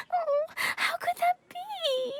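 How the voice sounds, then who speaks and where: A woman's voice: very slow, heavily acted. A woman voicing a sweet, innocent little girl in a video game, childlike and earnest. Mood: dismayed. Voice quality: childlike, squeaky. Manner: guileless, earnest. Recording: music behind.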